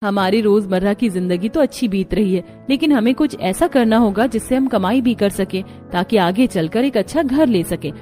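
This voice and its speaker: A woman's voice: medium pitch, expressive, moderate pace, hardly any pauses, warm tone, soft background music, lightly acted. A woman voicing a practical, resourceful young Indian daughter-in-law in a Hindi cartoon story. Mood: earnest.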